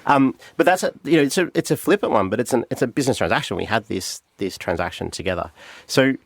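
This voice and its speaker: A man with an Australian accent, a guest on a podcast, explaining ideas in a thoughtful, unhurried way with fillers and restarts. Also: mid-range voice, animated, vocal fry, dry recording. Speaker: podcast guest, company CTO. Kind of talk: conversational podcast interview.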